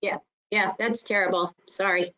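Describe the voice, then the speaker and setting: Dull, lo-fi online meeting audio carries a woman's mid-pitched voice. She talks informally as a nurse on a panel, laying out explanations with firm emphasis. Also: fairly even intonation, normal speed, bright, forceful tone. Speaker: school nurse coordinator on a panel. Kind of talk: informal spoken presentation and discussion in an online meeting.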